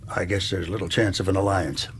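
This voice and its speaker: An actor playing a middle-aged, willful, sardonic rich uncle with an American Southern accent in a radio drama. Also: male voice, low voice, fairly even intonation, a little fast, slightly rough. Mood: bitter, goading. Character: hard-drinking, willful rich ex-Confederate uncle, gruffly sardonic.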